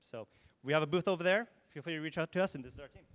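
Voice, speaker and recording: Man, smooth and resonant, cheesy-joke sponsor pitch in a reverberant event hall. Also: racing, fairly bright tone. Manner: jokey, laughing, filler-heavy. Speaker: mortgage broker, sponsor.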